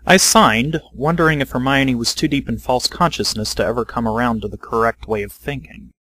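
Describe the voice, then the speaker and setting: A man's voice: mid-range voice, a little fast, bright, forceful tone, dry recording. A man reading scripted first-person story narration as the narrator.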